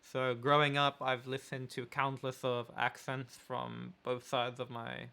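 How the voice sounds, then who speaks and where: A young man with a London accent chatting casually with his partner in a relaxed, wordy way, sometimes searching for words. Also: medium pitch, measured pace, creaky, smooth. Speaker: photographer and vlogger, co-host.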